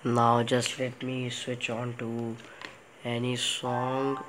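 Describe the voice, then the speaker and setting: A man with an Indian accent giving an unscripted step-by-step tech demonstration in a halting, repetitive way. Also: mid-range voice, completely flat delivery, a bit bright, a little hiss or hum. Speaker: tech tutorial presenter. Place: indoor room in a home, in front of a TV.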